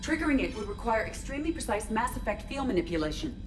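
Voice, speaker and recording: A woman's voice: a little high, big pitch swings, fast talker. A woman playing a composed, technically minded squad member in a video game, precise in manner. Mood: matter-of-fact. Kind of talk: cinematic video game acting. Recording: distant, music behind.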